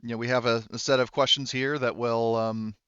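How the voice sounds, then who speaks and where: A man's voice: medium pitch, flat delivery, brisk, a bit bright, smooth, no echo, lo-fi sound, lightly acted. A man voicing a formal, composed woman who moderates a debate in a tabletop game, polite and official.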